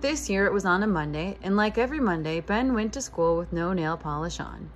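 A woman's voice: lowish voice, lively intonation, brisk, faint music behind. A woman in her thirties reading a picture book aloud as a preschool teacher, expressively addressing young children. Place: living room with couch, indoors.

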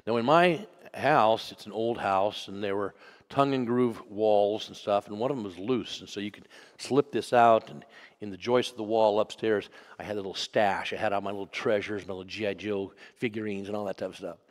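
A man preaching a sermon as pastor, telling a personal story and moving from loud to hushed. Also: fairly low pitch, a little fast, in a large hall. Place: church sanctuary.

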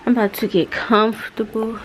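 A woman's voice: on the high side, little pitch movement, a little fast, a little hiss or hum, rough recording. A young adult woman chatting casually to camera in slangy, playful speech, recorded indoors on a handheld camera. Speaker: vlogger. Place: indoors, nail salon and home rooms. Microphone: handheld camera at arm's length.

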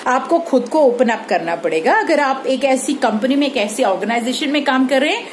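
A woman's voice: high voice, animated, normal speed. Woman in her thirties, teacher recording a lesson on her phone at arm's length in a room at home, faint hiss. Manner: explanatory, mixes Hindi with English phrases, frequent "ठीक है?" checks. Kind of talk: recorded lecture. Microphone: phone held at arm's length.

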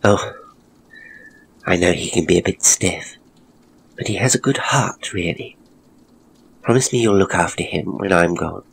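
A man voicing a frail, earnest young woman in a ghost story, hushed and halting. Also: sits low, vocal fry, a little hiss or hum, low-quality recording. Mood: gently pleading.

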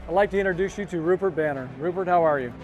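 A man's voice: high-pitched, racing, darkish tone, faint music behind, low-quality recording. A middle-aged man working as a show host, doing scripted introductions and interviews. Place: open tented car auction showroom. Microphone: lapel.

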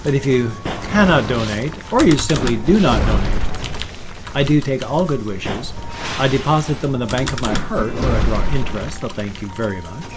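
A man's voice: medium pitch, expressive, moderate pace. A man in his sixties giving casual, chatty let's play commentary as a gaming host, close on the mic at home. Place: small room at home. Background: loud video game music.

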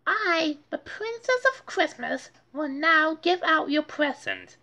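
Woman doing a bossy, high-pitched little princess girl. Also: animated, slow pace, sharp tone, exaggerated voice. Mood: grand, bossy. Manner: grand, declaiming. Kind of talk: storybook character voice.

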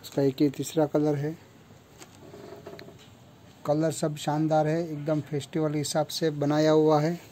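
Young male seller from India, monotone delivery, mellow, slightly high voice, phone recording in a shop. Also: measured pace, long pauses, a little hiss or hum. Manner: flat, matter-of-fact listing of features. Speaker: kurti factory seller. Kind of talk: product showcase walkthrough. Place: clothing store with fabric shelves.

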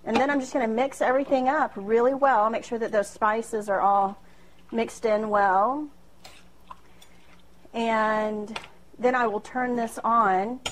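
A woman's voice: fairly high pitch, steady tone, measured pace. Chatty woman cooking at home. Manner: chatty and self-deprecating, with frequent fillers and laughs. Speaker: home cooking video host. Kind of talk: casual cooking demonstration. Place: small home kitchen. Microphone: camera across the room.

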